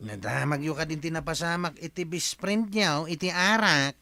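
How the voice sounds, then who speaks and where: Blunt gossipy village guy, highish sharp voice, dry studio, radio comedy. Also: normal speed, gravelly, no room sound. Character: blunt, gossipy village man bearing news. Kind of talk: comedic radio drama acting.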